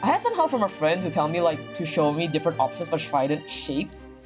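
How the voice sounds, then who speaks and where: A woman with a low voice chats casually as she streams a game. Her pitch jumps around in excitable bursts and she repeats phrases as she goes, with music clearly playing behind her. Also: fairly quick. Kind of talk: casual livestream gaming commentary.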